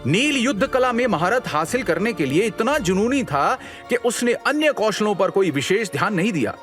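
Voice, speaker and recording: Male narrator, slightly high voice, scripted dramatic storytelling, audio series style, soft music bed. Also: big pitch swings, moderate pace. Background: background music.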